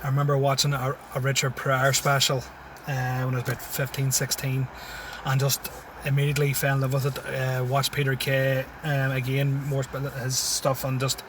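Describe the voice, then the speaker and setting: A man's voice: mid-range voice, monotone, normal speed, few pauses, recorded from a distance, background noise. A man in his thirties with a Tyrone accent, chatting casually in a car as a comedian guest and chuckling often. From Syria, raised in Tyrone. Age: 30s. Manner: laid-back, chuckles often. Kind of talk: casual interview chat. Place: inside a parked car. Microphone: phone.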